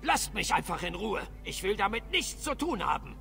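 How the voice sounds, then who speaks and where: A man's voice: sits high, very animated, normal speed, gravelly, sharp tone, faint music behind, exaggerated voice. A man voicing a flustered, indignant dwarf shopkeeper in a video game, stammering and complaining. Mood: accusing, annoyed. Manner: agitated, stammering, whiny complaining.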